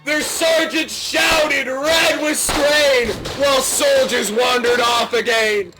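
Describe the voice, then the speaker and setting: A man loudly declaiming mock-heroic slam poetry in drawn-out lines, shouting it out during an online game. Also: very high voice, fairly even intonation. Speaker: video game player reciting a mock poem. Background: loud music.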